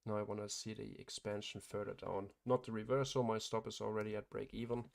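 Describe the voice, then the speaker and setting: A man running a live day-trading stream, giving matter-of-fact commentary, hoarse as he starts to lose his voice, and clearing his throat often. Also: on the low side, flat delivery, fairly quick. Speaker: day trader hosting a livestream.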